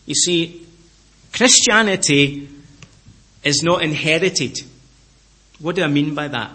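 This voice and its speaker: A middle-aged man from Scotland with a Scottish accent, preaching a sermon in an earnest way, repeating phrases and slowing down to stress key words. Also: fairly high pitch, expressive, sharp tone.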